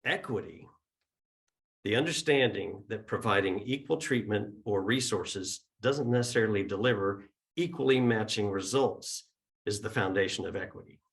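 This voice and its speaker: Man opening a service, lowish voice, chatty with ums, speaking to a hall on a mic. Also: moderate pace, gravelly. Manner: chatty and informal, with ums and uhs. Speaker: church service opener. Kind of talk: casual opening remarks at a service. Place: church hall with live and online audience.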